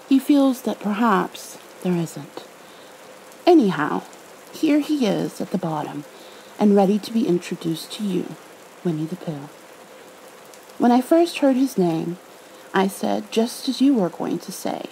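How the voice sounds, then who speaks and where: Slow, creaky, tender woman in ASMR roleplay. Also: medium pitch, lively intonation, low-quality recording. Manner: tender, nurturing, hushed. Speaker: ASMR roleplayer, storybook reader. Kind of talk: ASMR roleplay, soothing bedtime reading. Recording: faint rain-like background noise.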